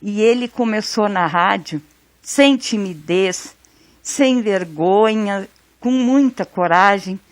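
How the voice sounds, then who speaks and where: Uma mulher do sul do Brasil relembrando o irmão numa entrevista, falando com calma e carinho. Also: female voice, medium pitch, takes it slowly, dry recording. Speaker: interviewee, sister. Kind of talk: interview recollection.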